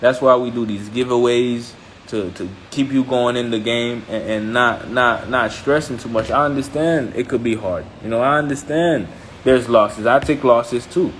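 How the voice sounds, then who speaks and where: A man's voice: medium pitch, normal speed, fairly bright tone, slight background noise, rough recording. A man from Haiti speaking as a trading mentor on a live stream, blunt and emphatic, repeating points and checking in with listeners. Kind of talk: motivational live-stream talk.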